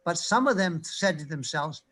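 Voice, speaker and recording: Guy on a panel talking about teaching, higher voice, lots of uhs, telling stories. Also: lively intonation, moderate pace, a bit bright. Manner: thoughtful, many fillers, storytelling. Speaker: panel speaker, reading researcher. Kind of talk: panel discussion.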